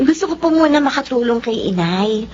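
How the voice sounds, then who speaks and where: Very high-pitched, sweet Filipino daughter. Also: female voice, sing-song, normal speed, background noise. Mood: shy, dutiful. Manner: deferential, gentle. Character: sweet, respectful teenage daughter. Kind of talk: Filipino radio drama acting. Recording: muffled radio drama sound.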